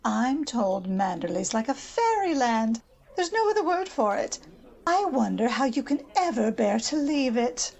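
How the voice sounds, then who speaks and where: Woman acting a gushing, haughty older society lady, animated. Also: on the high side, unhurried, vocal fry, lo-fi sound, exaggerated voice. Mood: gushing, chatty. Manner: affected, gossipy, imperious. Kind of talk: old-time radio drama acting.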